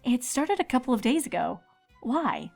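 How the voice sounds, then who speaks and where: A woman's voice: on the high side, big pitch swings, normal speed, creaky, a little mellow, soft background music, heavily acted. A woman voicing an irritable, snappy adult alicorn pony princess in a cartoon fan-fiction story. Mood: snappy, irritated. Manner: snappy, grumbling. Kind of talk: dramatized fan fiction reading.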